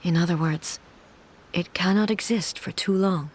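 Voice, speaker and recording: A woman voicing a dignified shogun of godlike authority in a video game, speaking in a calm, formal, measured manner. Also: on the low side, a little hiss or hum, natural voice. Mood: solemn, explanatory.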